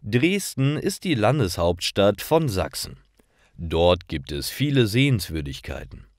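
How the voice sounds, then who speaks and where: A man reading scripted stories for language learners in a clear, deliberate storytelling manner. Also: lowish voice, very animated, no echo, clean studio sound. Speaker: narrator of German learning stories.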